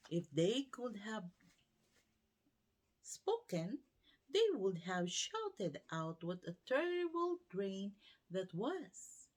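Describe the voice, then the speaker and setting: Slow, expressive woman reading a bedtime story. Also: medium pitch. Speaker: storyteller reading a children's book aloud. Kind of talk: bedtime story read-aloud.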